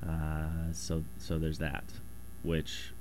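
A man with a slightly raspy voice talking casually in a loose, rambling, self-deprecating way. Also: extremely low pitch, monotone, extremely slow, a little mellow, slight background noise. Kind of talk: casual monologue.

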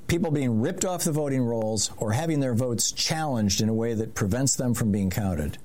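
A man's voice: mid-range voice, normal speed. Man hosting a call-in radio show. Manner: relaxed, conversational, gently steering callers. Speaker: radio talk show host.

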